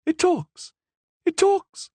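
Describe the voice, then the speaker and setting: A man voicing an excitable young queen who gives commands and cries out with delight in a very high voice. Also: moderate pace, slightly rough, dry recording, heavily acted. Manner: shrill delighted exclamations.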